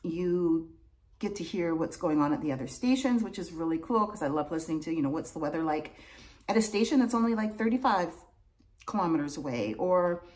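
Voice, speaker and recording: A middle-aged woman with a lowish voice talks casually into a phone propped on her kitchen table. She rambles and circles back on herself as she explains her daily routine. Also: expressive, a little fast, a little mellow. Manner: chatty, rambling, self-interrupting. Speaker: lighthouse keeper. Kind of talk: casual vlog chat to camera. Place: home kitchen indoors. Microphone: phone camera on a table.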